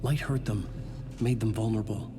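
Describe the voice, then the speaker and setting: Male actor as grim writer, terse ominous horror-game narration, noir drama, music very loud over the voice. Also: lowish voice, normal speed, natural voice. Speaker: narrator reading manuscript pages.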